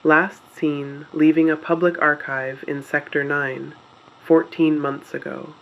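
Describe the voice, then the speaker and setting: A man narrating a scripted dramatic radio broadcast in a measured, solemn manner. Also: on the high side, level tone, vocal fry, muffled recording.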